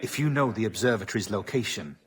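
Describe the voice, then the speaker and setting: A male actor playing a cold, formal interrogator in a pirate-era game, speaking in a measured, clipped manner. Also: lowish voice, expressive, a bit bright. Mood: cold, probing.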